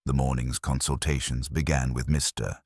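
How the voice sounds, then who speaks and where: A man reading a scripted mystery story as narrator, in a measured, formal, literary manner. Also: rumbling low, level tone, no room sound, studio quality.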